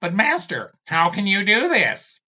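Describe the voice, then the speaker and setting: A man's voice: sits high, wildly swooping pitch, unhurried, sharp tone, lo-fi sound, pitched above the performer's own voice, heavily acted. A man doing a meek servile talking skull. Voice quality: meek, deferential.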